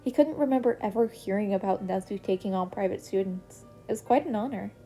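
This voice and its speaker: A woman narrating fan fiction as a podcast reader, steady storytelling. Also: medium pitch, fairly quick. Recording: faint harpsichord music behind.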